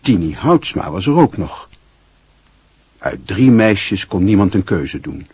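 A man's voice: fairly low pitch, big pitch swings, slow pace, darkish tone, breathy edge, no echo, full character voice. A man giving wry, scripted literary narration in a Dutch radio play. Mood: wry, reflective.

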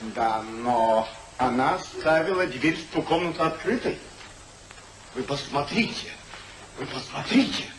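An actor playing a good-natured, earnest family friend and peacemaker in a 1950s radio play, theatrical and soothing. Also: male voice, mid-range voice, lively intonation, unhurried, close to an everyday voice. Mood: soothing, pleading. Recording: noisy old 1950s radio recording.

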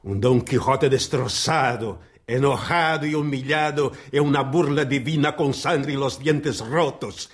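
An actor playing a proud, weary writer with a haughty, biting manner in a radio play. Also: male voice, medium pitch, normal speed, few pauses, lightly acted. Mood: bitter, scornful. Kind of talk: radio play acting in Spanish.

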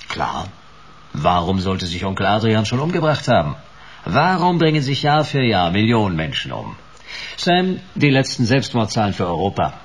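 A man's voice: on the low side, big pitch swings, moderate pace, slightly rough, exaggerated voice. A middle-aged man playing a brusque, sardonic private detective in German radio play dialogue. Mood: cynical, dry. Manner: sardonic, curt, dry. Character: cynical, brusque male private detective in his office.

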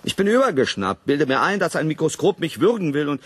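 An actor playing an irritable, troubled man in a science fiction radio play, blunt and urgent, with a rough voice. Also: a little high, very animated, fairly quick, dry recording. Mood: agitated, confused. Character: irritable, troubled grumbling man doubting his own sanity.

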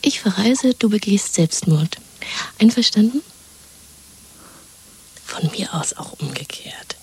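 Dreamy young woman plotting escape with her lover: very breathy whisper, soft mellow tone, coaxing and intimate, radio play. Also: mid-range voice, animated, takes it slowly, exaggerated voice, low-quality recording. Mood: eager, dreaming. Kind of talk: 1970s German radio drama acting.

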